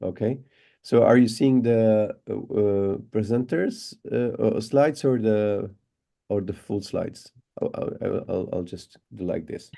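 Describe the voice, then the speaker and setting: Relaxed Chilean man giving a lecture. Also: lowish voice, takes it slowly, creaky. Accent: Chilean Spanish. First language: Spanish. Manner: relaxed, repeats words often, many fillers. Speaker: guest lecturer. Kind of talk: online lecture. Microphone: computer mic on a video call.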